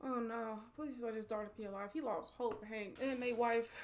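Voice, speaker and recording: A young American woman giving a casual, emotional movie reaction in an expressive, slangy way, full of exclamations. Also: on the high side, moderate pace. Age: young adult. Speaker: movie reaction video host. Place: small home streaming room, indoors. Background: film soundtrack and dialogue.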